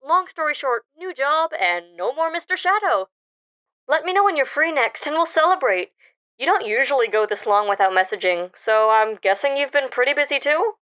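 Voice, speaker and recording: A woman's voice: high-pitched, animated, normal speed, fairly bright tone. A woman playing an anxious, wary young therapy patient in an audio drama, earnest and hesitant in manner. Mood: chatty, relieved. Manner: hesitant, earnest, stammering under pressure.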